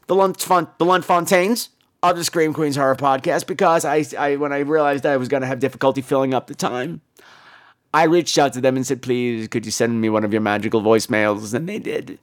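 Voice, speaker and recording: A man hosting a podcast in a campy, irreverent, rambling way, his voice hoarse from laryngitis. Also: medium pitch, fairly even intonation, brisk. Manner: campy, irreverent, crude joking. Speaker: podcast host. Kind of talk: rambling comic casual chat.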